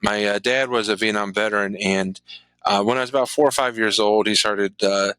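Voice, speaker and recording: Middle aged guy chatting as a guest, bright clear voice, kinda low, tells stories. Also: fairly even intonation, fairly quick, no room sound, low-quality recording. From Chicago and southwestern Virginia. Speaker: interview guest. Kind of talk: casual storytelling in an interview.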